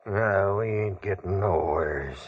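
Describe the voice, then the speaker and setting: An actor playing a scheming, folksy gang leader of claim jumpers in an old-time radio western, with blustering, wheedling rustic talk and a husky voice. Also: male voice, sits low, slow, full character voice. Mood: blustering, pushy. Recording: dull, lo-fi old radio recording.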